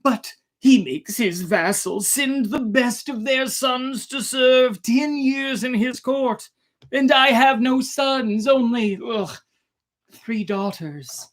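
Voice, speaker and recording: Lady doing a tired old king dad from a fairy tale, grave n sighing, drags words out, pitched up. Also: slow pace. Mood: resigned, exasperated. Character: weary, disapproving old male emperor, a sorrowful father. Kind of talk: fairy-tale storybook character.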